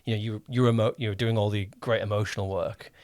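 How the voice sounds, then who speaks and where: A man's voice: on the low side, fairly even intonation, fast, darkish tone, dry recording. A man hosting a casual podcast interview, rambling a little as he rephrases his questions. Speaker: podcast host and drama producer.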